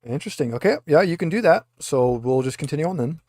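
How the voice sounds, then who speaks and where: A man acting as game master, narrating a tabletop game off the cuff in halting, improvised phrasing. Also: on the high side, lively intonation, a little mellow, dry recording.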